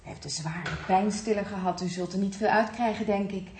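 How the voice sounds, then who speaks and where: An actress playing a cool, businesslike hospital nurse in a radio crime drama, recorded in an echoey room. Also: female voice, medium pitch, brisk, darkish tone, natural voice. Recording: echoey room, faint noise.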